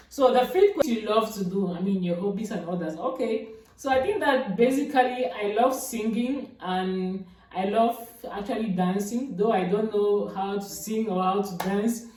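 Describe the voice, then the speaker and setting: Young woman, vlogger, mellow big voice, rambling chatty delivery, recorded far from the mic in a very echoey room. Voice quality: big, deep-sounding voice. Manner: chatty, repeats herself, fillers like "I mean". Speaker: YouTuber. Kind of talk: casual get-to-know-me vlog.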